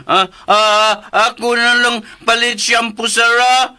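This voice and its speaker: A hesitant Filipino household helper in a radio serial. His voice is extremely high for a man and piercingly bright, slow and halting. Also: flat delivery, clear, resonant tone, full character voice. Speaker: radio drama actor. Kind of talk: radio drama acting.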